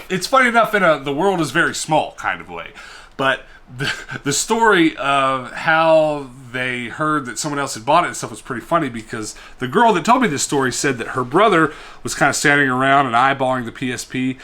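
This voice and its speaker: A man's voice: medium pitch, sharp tone. A man chatting casually in a vlog, showing off his collection and rambling with self-deprecating humor. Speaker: collector vlogger. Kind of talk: casual show-and-tell vlog.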